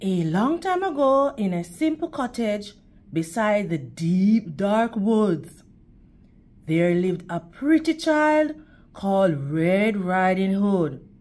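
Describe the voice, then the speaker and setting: A woman narrates a storytime read-aloud for children. She takes her time, with lively intonation and a teacherly, direct address to her young listeners. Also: medium pitch, slow.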